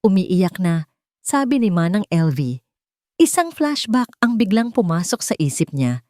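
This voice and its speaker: A woman's voice: mid-range voice, very animated, unhurried, dark tone, no echo, clean studio sound. A woman narrator reading a scripted romantic drama in a dramatic storytelling style.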